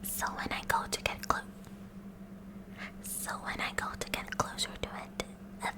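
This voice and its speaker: A woman's voice: a little slow, close to an everyday voice. A woman playing a kooky, eager teenage science club president in a whispered ASMR roleplay, with nerdy formal wording and a nervous stammer. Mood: excited, awed.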